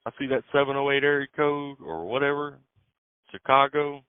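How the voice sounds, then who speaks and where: A man's voice: mid-range voice, normal speed, clean tone, no echo. A man, a truck driver, talking casually on a phone call in a laid-back, plain-spoken way. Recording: tinny phone line.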